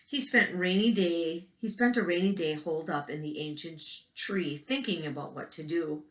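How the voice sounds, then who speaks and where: A woman with a fairly low voice reads a picture book aloud in an echoey room. She tells the story expressively and adds little asides for young listeners. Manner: pauses to comment on pictures as to young children. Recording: roomy, echoing indoor recording.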